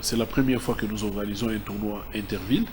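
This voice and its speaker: A man's voice: lowish voice, fairly even intonation, fast, darkish tone. A man with a Congolese accent, a sports official explaining decisions to the press in an emphatic, point-by-point manner. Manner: emphatic, enumerates his points. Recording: clear background ambient noise.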